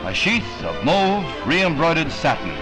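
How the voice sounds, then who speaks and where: A man's voice: on the high side, big pitch swings, unhurried. An American man narrating a 1960s newsreel from a script, with a polished, declamatory announcer's delivery. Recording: vintage 1960 newsreel soundtrack, music behind.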